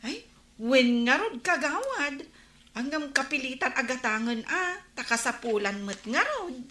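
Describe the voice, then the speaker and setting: A woman's voice: high-pitched, very animated, measured pace, sharp tone, full character voice. A woman playing a gossipy village woman who brings neighbourhood news, in an Ilocano radio comedy drama. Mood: chatty. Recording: roomy, echoing radio drama recording.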